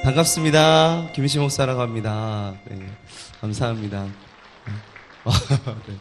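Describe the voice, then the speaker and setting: A man's voice: medium pitch, measured pace, soft background music. A male pastor leading a church service, joking with the congregation and coaxing them to greet each other and answer back. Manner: energetic, coaxing crowd responses.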